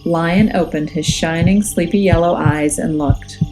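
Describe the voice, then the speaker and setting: A woman reading a children's story aloud as a teacher, in a measured, expressive and suspenseful way. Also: fairly low pitch, rough recording. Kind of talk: dramatic children's storybook narration. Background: music and nature sounds.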